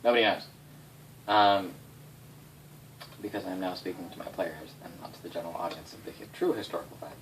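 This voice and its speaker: A man's voice: sits low, fairly quick, pauses often. A man recounting game lore to his players in a casual, off-the-cuff way, swearing freely and stressing words for drama. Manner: sweary, animated, dramatic emphasis. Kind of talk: casual off-the-cuff history telling. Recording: distant mic, noisy room ambience.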